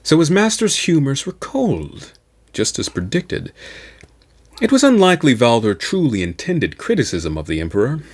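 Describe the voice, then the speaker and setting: A man giving a dramatic, theatrical narration of science fiction in a deliberate manner, as an amateur audiobook reader. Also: mid-range voice.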